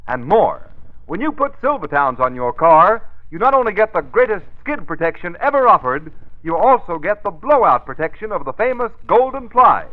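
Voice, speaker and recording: High-pitched, animated vintage radio pitchman. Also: moderate pace. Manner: emphatic salesman delivery. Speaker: radio announcer reading a tire advert. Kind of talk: 1930s-40s radio advertising pitch. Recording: tinny old radio recording.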